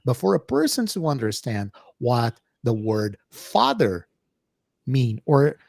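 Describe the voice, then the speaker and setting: A middle-aged Brazilian professor with a Brazilian Portuguese accent, co-hosting an educational podcast in a hesitant, filler-heavy manner. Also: male voice, medium pitch, wildly swooping pitch, slow, gravelly, a little mellow, dry recording, clean studio sound. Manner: hesitant, many fillers and restarts. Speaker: co-host, professor. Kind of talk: casual educational podcast chat.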